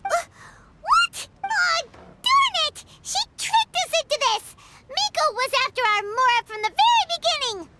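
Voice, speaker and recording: A woman voicing a tiny, excitable fairy companion in a video game, who talks about herself in the third person and whines easily. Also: extremely high pitch, measured pace, heavy background noise, full character voice. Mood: indignant. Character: tiny floating female fairy companion, chirpy.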